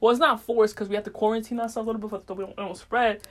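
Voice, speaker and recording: A woman's voice: on the high side, steady tone, very fast. A woman talking off the cuff as a podcast host in a casual, slangy monologue, swearing freely and meandering as she thinks aloud. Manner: slangy, heavy swearing, unfiltered.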